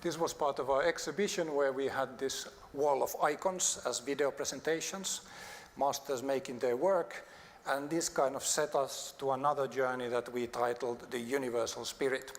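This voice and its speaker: A man's voice: mid-range voice, fairly even intonation. Man presenting in a reverberant, noisy hall. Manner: halting, searching for words. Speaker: designer giving a talk. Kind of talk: conference presentation. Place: large conference hall on stage.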